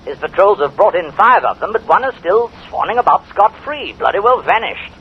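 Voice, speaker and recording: An actor playing a blustering British army general with clipped, hesitant military speech in a vintage radio drama. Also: male voice, high-pitched, sing-song, brisk, breathy edge, heavily acted. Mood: gruff, apologetic. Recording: dull, faintly noisy old radio recording.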